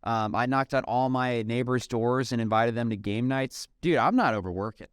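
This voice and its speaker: A man, chatting casually as a podcast guest, relaxed and peppered with "um" and "dude". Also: medium pitch, moderate pace, smooth, dry recording, studio quality. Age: 30s. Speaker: podcast guest, software company CEO. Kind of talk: casual interview conversation.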